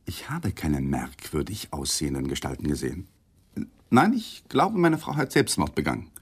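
A man's voice: very deep voice, sing-song, normal speed, breathy edge, exaggerated voice. A man acting in a 1980s German radio crime play as a defensive, uneasy husband who gives hesitant, guarded answers to police. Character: defensive, uneasy husband under police questioning, awkward lawyer. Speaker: actor in a radio crime drama.

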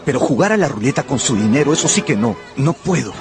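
A man acts an earnest, lovestruck young narrator in a radio drama. His mid-pitched voice moves expressively up and down over clearly audible music. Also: animated, fast, close to an everyday voice. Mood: pleading. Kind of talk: first-person radio drama narration and dialogue.

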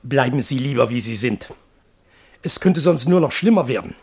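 An actor playing a complacent, officious doctor in a German radio drama, blandly reassuring and deliberate. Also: male voice, medium pitch, lively intonation, a little mellow, slightly rough.

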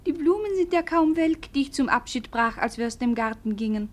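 Princess's reassuring companion, voice on the high side, classic radio drama. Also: female voice, animated, a little fast. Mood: soothing. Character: soothing female companion of a princess. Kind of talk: classic German radio play acting.

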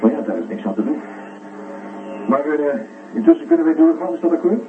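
A man's voice: on the high side, huge pitch swings, a little fast, over music. A man acting a patient but firm male psychiatrist questioning someone in a science fiction radio drama. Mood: measured, firm. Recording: roomy, distant, tinny phone-like radio recording.

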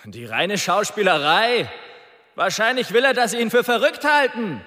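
An actor playing a brash, taunting convict on death row in a radio drama, jeering loudly in an echoing space. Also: male voice, high-pitched, expressive, moderate pace. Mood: scornful. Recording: long echo of a prison corridor.

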